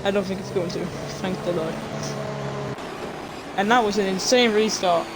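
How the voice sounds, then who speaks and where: An excitable commentator calling an online car race live, often stumbling over names. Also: medium pitch, moderate pace. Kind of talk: live esports racing commentary. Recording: loud game engine noise.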